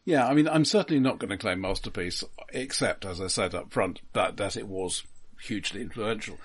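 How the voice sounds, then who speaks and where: A man co-hosting a film podcast, speaking casually in a measured, halting way. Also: lowish voice, husky.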